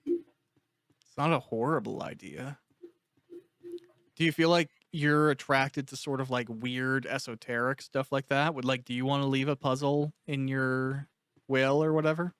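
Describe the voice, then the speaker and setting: A man's voice: mid-range voice, steady tone, measured pace, fairly bright tone, smooth, dry recording, clean studio sound. A man hosting a casual interview, musing informally as he puts questions and follows ideas.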